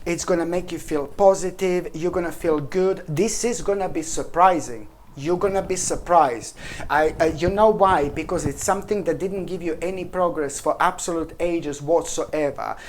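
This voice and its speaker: A woman's voice: on the low side, normal speed, a little hiss or hum. A woman giving a weekly tarot reading, effusive and repetitive, calling the listener "my gorgeous" and "baby". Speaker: tarot reader.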